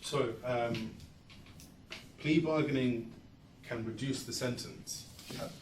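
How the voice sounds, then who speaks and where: A man in the audience of a lecture asking a law professor a question, hesitant and halting with frequent pauses. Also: medium pitch, background noise. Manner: hesitant, many ums and restarts. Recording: roomy hall, mic at a distance.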